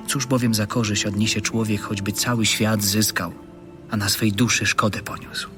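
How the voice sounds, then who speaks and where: A solemn spiritual teacher, played by a male actor, instructs his disciples in an audio Bible drama. His voice is fairly low and evenly pitched, set over music. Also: steady tone, normal speed, close to an everyday voice. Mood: solemn teaching. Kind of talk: dramatic scripture reading.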